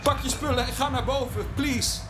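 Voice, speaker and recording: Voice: high voice, moderate pace, close to an everyday voice. An actor playing a flustered informer in an audio drama, urgent and prone to stammering. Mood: urgent, pleading. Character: urgent, flustered informer warning a stubborn friend. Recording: loud music behind the voice.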